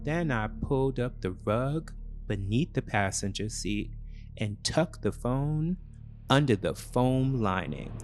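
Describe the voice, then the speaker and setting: A man narrating a scripted first-person thriller story in short, deliberate, measured phrases. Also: on the low side.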